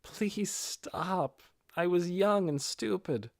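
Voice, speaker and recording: Man doing a high, flustered young adventurer boy. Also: slow, gravelly, a little mellow, dry recording, clean studio sound, exaggerated voice. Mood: embarrassed pleading. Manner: pleading, flustered. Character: shy, easily embarrassed young male adventurer.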